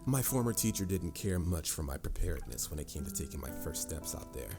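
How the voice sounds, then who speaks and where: A man's voice: extremely low pitch, big pitch swings, a little fast, gravelly, heavily acted. A man playing a confident, patient mage mentor in a fantasy audio roleplay, instructive and slightly formal in a theatrical way. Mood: storytelling, confident. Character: confident adult male mage mentor, patient, boastful, encouraging. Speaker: voice actor. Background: music.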